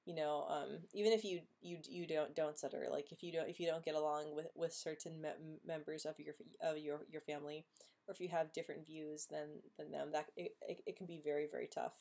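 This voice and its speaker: A woman's voice: low voice, steady tone, a little fast, warm tone. A woman who stutters, hosting a live stream and casually answering viewers' questions with frequent repeated sounds and blocks. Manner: stutters with repetitions and blocks, laughs often. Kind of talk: casual live chat reading comments.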